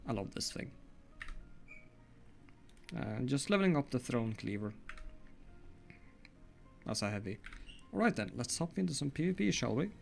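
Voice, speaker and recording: Norwegian-accented man with a gravelly voice. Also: medium pitch, big pitch swings, normal speed, pauses often, faint music behind. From Norway. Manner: chatty, walks through game loadout with frequent self-corrections. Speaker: gaming channel host. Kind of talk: casual gameplay commentary.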